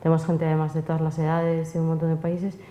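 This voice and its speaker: A woman's voice: completely flat delivery, quick pace, dark tone. A woman speaking off the cuff as a panelist. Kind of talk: spontaneous panel talk. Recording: echoey hall, faint low rumble.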